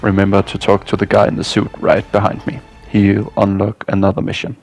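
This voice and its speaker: A man's voice: fairly low pitch, monotone, brisk, darkish tone, soft background music. A man narrating a scripted video game walkthrough as a guide.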